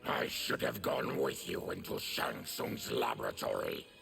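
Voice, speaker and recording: A man voicing a grave, menacing monster warrior with a gravelly voice in a video game. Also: a bass voice, fairly even intonation, measured pace, fairly bright tone, soft background music, heavily acted. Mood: grave regret. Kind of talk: video game fight intro dialogue.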